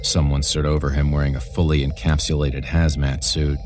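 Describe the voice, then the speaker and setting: A man narrating a scripted horror story in a dramatic, deliberate storytelling manner. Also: a bass voice, flat delivery, a little mellow, soft background music.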